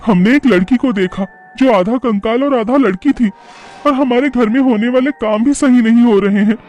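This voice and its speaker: Man putting on a high, anxious housewife voice. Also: moderate pace, darkish tone, faint music behind. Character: frightened young housewife, anxious and insistent. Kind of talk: horror cartoon dialogue.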